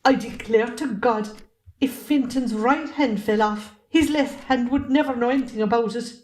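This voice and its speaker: A woman's voice: a little high, normal speed, background noise, natural voice. A woman acting a wronged, middle-aged farmer's wife in an amateur play, reproachful and pointed, with an Irish accent. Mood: weary, resentful. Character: wronged, resentful Irish farmer's wife, standing her ground.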